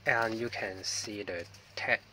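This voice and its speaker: A man's voice: lowish voice, fairly even intonation, slow, pushed and bright. A man with a Hong Kong accent, unboxing a product in a casual vlog, hesitant and apologetic with many ums, recorded on a mobile phone. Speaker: vlogger. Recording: distant phone mic with faint noise.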